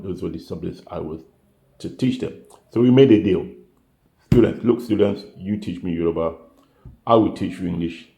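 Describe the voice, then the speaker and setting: A man's voice: sits low, creaky, darkish tone, roomy sound. An older man, a retiree vlogger, chatting casually to camera, rambling and correcting himself as he goes. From United Kingdom.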